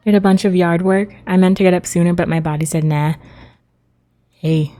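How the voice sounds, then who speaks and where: A woman streaming a game in casual, chatty talk. Her voice sits a little low, with a dark, very mellow and relaxed tone. She speaks informally, as if to regular viewers in a chat. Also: moderate pace. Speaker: livestreamer.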